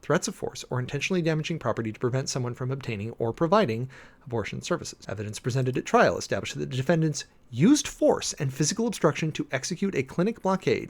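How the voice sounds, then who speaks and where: An American man giving a dramatic reading with off-the-cuff commentary, in a sardonic, emphatic way with mock-exasperated asides, like a preacher-commentator. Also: a little high.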